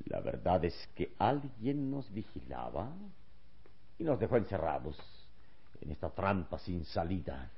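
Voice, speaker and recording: An actor playing a calm, wise adventure hero in an old radio serial, speaking with measured, solemn deliberation. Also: male voice, deep voice, husky, dull audio, exaggerated voice. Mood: calm, reassuring.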